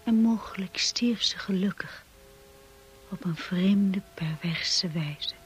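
Slow, solemn young wife played by an actress. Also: female voice, medium pitch, slight background noise, lightly acted. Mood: wistful, solemn. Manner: slow, measured, solemn delivery. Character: Mrs de Winter, devoted young wife looking back wistfully. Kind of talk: radio drama acting and narration.

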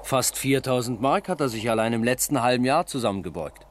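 Male actor as police detective, middling pitch, persistent, probing, easily exasperated, radio crime drama. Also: lively intonation, fairly quick, slight background noise, lightly acted. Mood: matter-of-fact. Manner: probing, interrupting. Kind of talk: German radio crime play acting.

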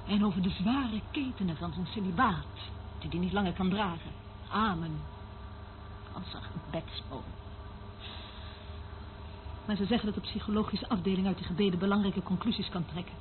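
An actress playing a weary, cynical surveillance worker in a radio play, speaking in a dry, dismissive way. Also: female voice, medium pitch, normal speed, pauses often, close to an everyday voice. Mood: dry, mocking. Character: weary, cynical woman working as surveillance eavesdropper. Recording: noisy, tinny band-limited old radio recording.

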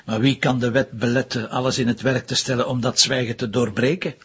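A Flemish actor playing a blunt, sceptical, impatient police commissioner in a TV crime drama, with a rough husky voice. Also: male voice, medium pitch, level tone, fairly quick, a bit bright. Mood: challenging. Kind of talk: naturalistic TV crime drama acting.

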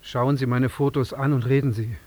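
A man's voice: medium pitch, fairly even intonation, normal speed, darkish tone, close to an everyday voice. An actor playing a bereaved, bitter father in a radio drama, accusing and insistent. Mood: insistent grief. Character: bereaved foreign father, bitter, demanding an apology.

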